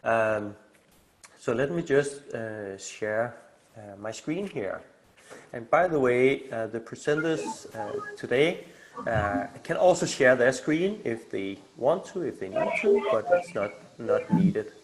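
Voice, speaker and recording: A man from Denmark with a clear Danish accent gives an informal presentation on a video call. He speaks at an ordinary middle pitch, hesitating often and filling gaps with small sounds. He sounds middle-aged, easygoing and direct. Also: takes it slowly, noisy background. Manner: relaxed and hesitant, many filler sounds, laughs lightly. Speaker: community initiator presenting on a call. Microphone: video call microphone.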